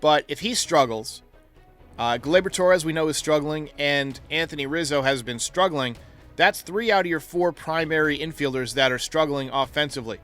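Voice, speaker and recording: A man with a slightly high voice hosts a sports talk show over faint music. He is opinionated and talks his way through each option in a conversational commentary style. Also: normal speed, a bit bright.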